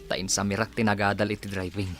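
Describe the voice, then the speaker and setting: A man acts out a boastful young Filipino man in a radio drama. His cheerful, easygoing voice is slightly low. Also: little pitch movement, fast, soft background music, natural voice. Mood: musing.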